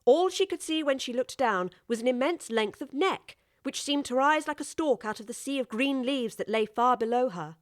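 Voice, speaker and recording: Lady narrating a kids classic audiobook, voice a bit high, measured storytelling, very dry sound. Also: lively intonation, no echo.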